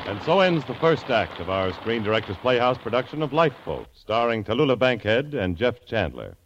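A man's voice: medium pitch, sing-song, fairly quick, noisy background. An American man announcing and reading advertising copy in a mid-century radio style. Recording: muffled old radio recording.

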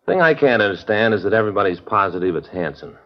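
A workmanlike American detective in an old radio police drama. His voice is very low and his talk is laconic and understated, on a muffled vintage recording. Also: big pitch swings, quick pace. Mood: matter-of-fact. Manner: laconic, understated, matter-of-fact. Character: plain-spoken, workmanlike male police detective partner.

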